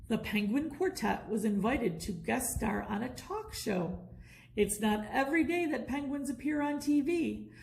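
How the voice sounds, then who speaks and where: A woman reading a children's bedtime story aloud in an expressive, deliberate storytelling manner. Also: fairly high pitch, creaky, poor audio.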